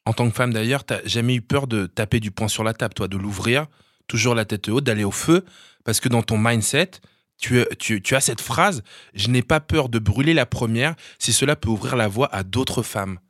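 A man's voice: medium pitch, moderate pace, a bit bright, no echo, studio quality. A man hosting a casual interview, playful and loose in his phrasing. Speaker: host.

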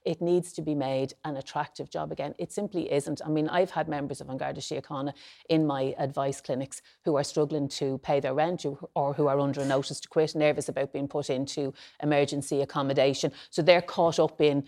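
An Irish woman politician talks quickly in a low register. She presses her points earnestly and insistently, and her voice climbs toward emphasis as she argues on a radio panel. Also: steady tone, dark tone.

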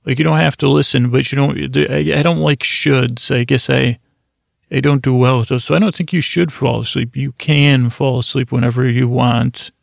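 A man with a heavily gravelly, fry-laden voice rambles through a self-deprecating monologue that is meant to lull listeners to sleep. His pitch stays flat and even. The recording is dry and close, with no room sound. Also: normal speed, dry recording. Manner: meandering, self-deprecating, full of restarts. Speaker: sleep podcast host. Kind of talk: rambling monologue for falling asleep.

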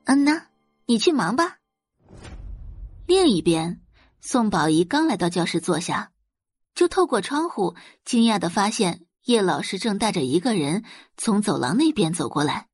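A woman narrating a dramatic audio novel in an expressive storytelling style. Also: mid-range voice, breathy edge.

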